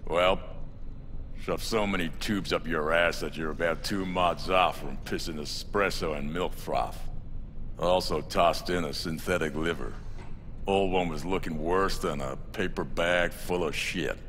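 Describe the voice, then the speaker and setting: Gruff, very deep-voiced back-alley surgeon. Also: male voice, measured pace, fairly bright tone. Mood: wry, proud. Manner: folksy, crude, familiar. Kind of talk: cinematic video game acting. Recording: long reverb, faint background noise.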